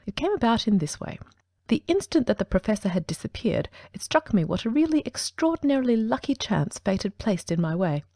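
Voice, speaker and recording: A woman voicing a calm, precise middle-aged male detective who tells his tale in a measured, composed way in a dramatic audiobook reading. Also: medium pitch, dry recording. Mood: recounting. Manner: measured, dry, composed storytelling.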